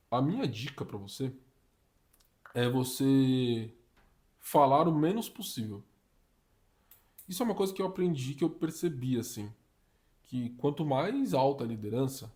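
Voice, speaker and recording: Slangy, animated young Brazilian man. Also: medium pitch. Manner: slangy and animated, uses "tá ligado", "mano", "papo reto". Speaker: career vlogger giving communication tips. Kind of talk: casual advice vlog.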